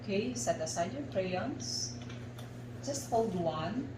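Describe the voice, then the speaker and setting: Very slow-talking Filipino woman instructor. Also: medium pitch, expressive. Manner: deliberate, speaking in short separated phrases with pauses. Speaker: facilitator leading a guided art exercise. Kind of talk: slow guided instruction for a radio lesson. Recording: echoey room, clear background noise.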